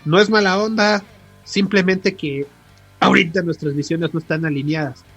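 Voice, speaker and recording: Casting: Mexican male podcast co-host, slightly high husky voice, emphatic and slangy, music underneath. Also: moderate pace, fairly bright tone. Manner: emphatic, repeats words for stress. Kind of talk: casual, slangy podcast chat. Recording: music behind the voice.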